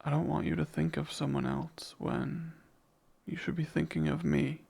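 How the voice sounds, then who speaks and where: Male actor as possessive demon lover, heavy vocal fry, husky breathy tone, intimate ASMR romance, ardent. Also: on the high side, fairly even intonation, darkish tone, full character voice. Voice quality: breathy, gravelly. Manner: slow, deliberate, ardent, possessive. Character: jealous, devoted male demon confessing love.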